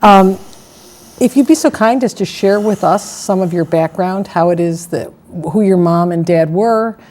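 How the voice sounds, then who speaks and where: Warm-toned chatty woman interviewer. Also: medium pitch, normal speed. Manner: chatty, gently teasing questions. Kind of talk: oral history interview.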